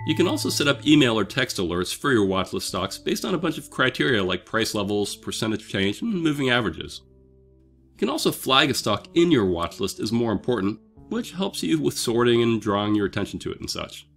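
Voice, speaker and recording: A man's voice: medium pitch, sing-song, fairly quick, creaky, a bit bright, soft background music. A man hosting a dividend investing channel, reading a scripted, conversational explainer while slightly under the weather. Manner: upbeat, conversational, explaining step by step. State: slightly sick, voice a bit off.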